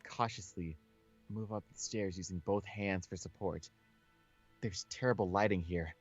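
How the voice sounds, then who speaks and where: A man's voice: deep voice, moderate pace, husky, lo-fi sound, faint music behind. A man reading visual novel text aloud as a co-host of a casual gaming session.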